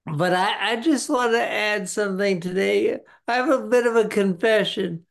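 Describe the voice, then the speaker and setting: A middle-aged man speaking slowly and haltingly over a video call from a home office, with many fillers. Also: high-pitched, gravelly. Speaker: webinar participant. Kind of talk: candid personal sharing. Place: his late father's home office.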